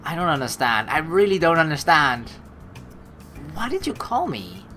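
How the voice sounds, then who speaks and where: Give me a young woman narrating a visual novel playthrough, her voice low-set yet bright and lively in pitch. She reads the game's lines with animated, joking asides and casual swearing. Game music plays faintly behind her. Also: normal speed. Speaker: let's play streamer reading a visual novel. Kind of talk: reading game text aloud with joking asides.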